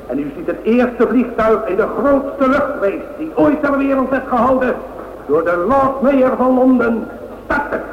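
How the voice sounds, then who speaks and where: A man playing a formal air race official who speaks in a measured, declamatory, ceremonial way in a radio play. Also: very high voice, expressive. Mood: solemn, ceremonial. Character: formal race official announcing starters and giving speeches. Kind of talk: radio play public announcement. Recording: echoing, dull, slightly noisy old radio recording.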